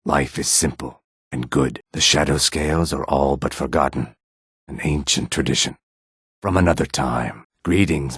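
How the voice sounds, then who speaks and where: An actor playing a calm, proud and courteous lizardfolk assassin in a video game, with a measured, menacing, theatrical delivery. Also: male voice, extremely low pitch, slightly rough, dry recording, studio quality, full character voice. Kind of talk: video game character dialogue.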